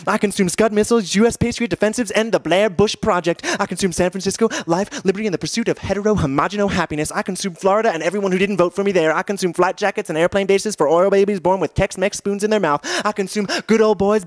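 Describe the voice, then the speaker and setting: A man delivers slam poetry at a very quick clip, in a fairly high voice. His phrasing is repetitive and emphatic, piling up items like a chant with a sardonic edge. The sound is close and dry, without room echo. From New York. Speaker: slam poet.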